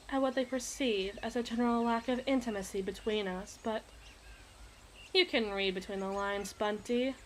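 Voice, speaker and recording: A woman's voice: mid-range voice, a bit bright, slight background noise, natural voice. A woman playing a possessive, imperious evil queen in an ASMR roleplay, speaking in formal, teasing, theatrical diction.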